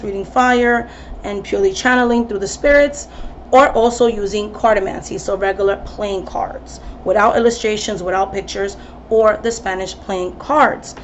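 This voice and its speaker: A woman's voice: mid-range voice, slight background noise. A woman tarot reader in her thirties talking casually to camera, chatty, with many ums and restarts. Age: 30s. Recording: slightly dull recording with faint ambience.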